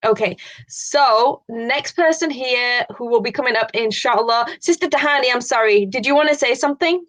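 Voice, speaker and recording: A woman with a slightly high voice hosts an online poetry event. She talks in a relaxed, casual way with fillers. Her speech is sprinkled with Islamic phrases. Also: normal speed. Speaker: event host.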